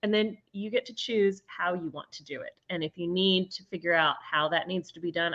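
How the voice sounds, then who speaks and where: A woman's voice: mid-range voice, a bit bright, lo-fi sound. A woman teacher talking casually about her classes, chatty, with frequent ums and laughs. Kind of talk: casual chat.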